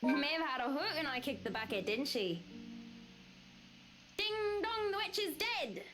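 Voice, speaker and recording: A fiery, defiant Irish schoolgirl for a visual novel game. Her voice is high and very animated, teasing and cocky. It rings with long reverb over music. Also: unhurried, exaggerated voice. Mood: taunting.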